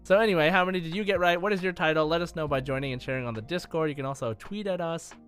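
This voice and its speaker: A man's voice: fairly high pitch, racing, a bit bright. Casual male podcast host, music behind. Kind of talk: casual gaming podcast chat.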